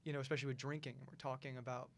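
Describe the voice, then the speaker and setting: Man, podcast host, casual rambling chat, medium pitch, small studio. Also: very fast. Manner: relaxed, rambling, laughs often.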